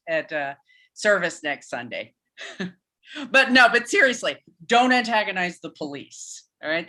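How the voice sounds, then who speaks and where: A woman playing a joking, informal church pastor who speaks haltingly in a live staged play reading. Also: a little high, bright, forceful tone. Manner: halting, chuckling, informal sermon delivery. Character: Pastor Reggie, rambling, joking, nervously candid church pastor.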